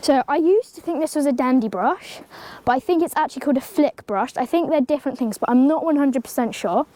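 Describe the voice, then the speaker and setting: A woman's voice: high voice, lively intonation, a little fast, dark tone. A teenage girl with a slight cold, casually explaining horse grooming in a stable yard, full of ums and likes. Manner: chatty, rambling, many ums and likes, talks to the pony. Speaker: horse-care vlogger. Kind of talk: casual how-to tutorial. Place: outdoor stable yard beside a pony.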